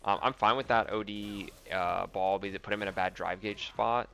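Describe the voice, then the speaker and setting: A man's voice: on the low side, steady tone, brisk, gravelly, a bit bright, faint music behind. A young man casually commenting on replays of his own fighting game match, thinking aloud with lots of ums and likes.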